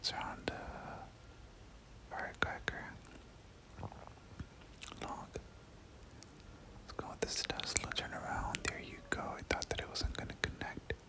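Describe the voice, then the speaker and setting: A gaming creator giving ASMR game commentary in a breathy whisper, often repeating words in soft rhythmic runs. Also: measured pace, long pauses.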